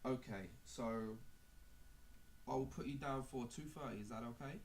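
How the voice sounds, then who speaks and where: A man playing a calm male clinic doctor on a prank call, speaking politely and haltingly in an official, clinical tone. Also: mid-range voice, lightly acted. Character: calm male clinic doctor giving awkward bad news. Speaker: prank caller. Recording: noisy amateur phone-call recording.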